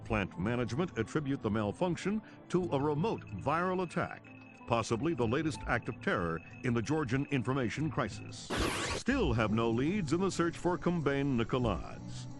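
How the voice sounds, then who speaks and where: An actor playing an authoritative news anchor in a game, reading a formal, grave scripted report. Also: male voice, medium pitch, big pitch swings, moderate pace, over music. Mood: urgent, formal. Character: urgent, authoritative male television news anchor.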